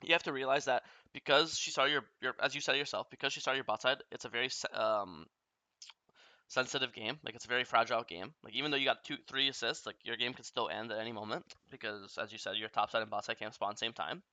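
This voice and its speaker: Blunt, bright-voiced young male coach. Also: mid-range voice, no echo. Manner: blunt, profane, rapid explaining. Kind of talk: game coaching review.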